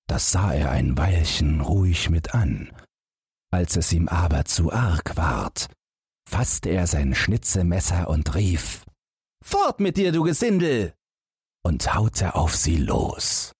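Deep, husky male storyteller, very animated. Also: no echo, clean studio sound. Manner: measured, dramatic storytelling. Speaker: audiobook narrator. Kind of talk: expressive scripted fairy-tale narration.

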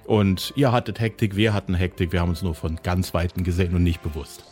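A man's voice: low voice, steady tone, fast talker, a little mellow. Middle-aged male podcast host from East Germany, eager and talkative, faint music underneath. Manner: chatty and enthusiastic, reminiscing. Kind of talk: casual interview chat.

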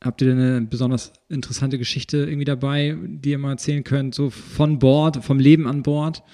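Chatty podcast host asking questions. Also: male voice, medium pitch, fairly even intonation, a little fast, warm tone. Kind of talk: casual podcast interview.

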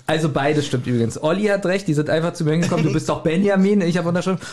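A man's voice: fairly high pitch, very fast, darkish tone. A German man co-hosting a podcast, retelling a story in casual chat and asking the others what they think. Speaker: podcast co-host.

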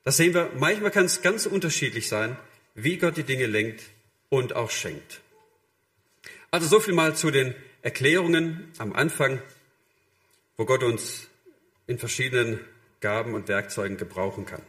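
A man's voice: mid-range voice, lively intonation, moderate pace, long pauses, a bit bright. A man preaching a sermon in a conversational, illustrative way, addressing listeners directly and voicing short imagined quotes.

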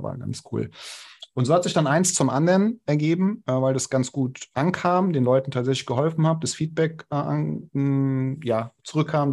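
A man's voice: medium pitch, vocal fry, a little mellow. A young adult man, a podcast guest, speaking casually with many hesitations and restarts. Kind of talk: casual interview talk.

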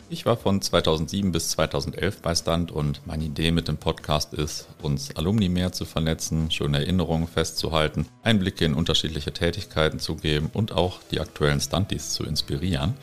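A man in his thirties with a cold, hosting a casual podcast interview in German and laughing easily. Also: rumbling low. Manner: relaxed, chatty, laughs often. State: has a cold. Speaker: podcast host.

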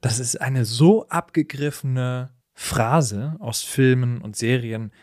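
A man co-hosting a casual, humorous podcast conversation, explaining things in an animated way and often chuckling as he talks. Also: mid-range voice, slow pace, no room sound, studio quality. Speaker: podcast co-host.

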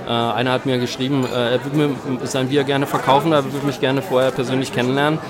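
A man's voice: medium pitch, flat delivery, fast talker, a crowd in the background. A man from eastern Belgium, guest in a conversational interview, talking hesitantly with many fillers and restarts. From Belgium (Eupen). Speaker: guest, beer shop owner.

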